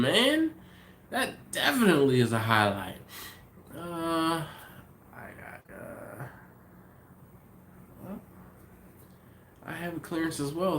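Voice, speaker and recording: A man's voice: fairly high pitch, a bit bright, a little hiss or hum. A man hosting a casual livestream, chatting with viewers in an easygoing, rambling way and sounding a bit congested and sniffly. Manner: easygoing, thinks aloud with many ums and restarts. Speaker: livestream host. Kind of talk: casual live chat reading viewer comments.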